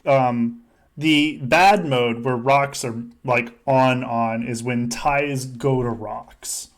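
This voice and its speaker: A man hosts a casual podcast, narrating a game play-by-play. His mid-pitched voice is jokey and loose, and he swears freely. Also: steady tone, slow pace. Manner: joking, foul-mouthed. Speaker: podcast host running a simulated game. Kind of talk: casual play-by-play commentary among friends.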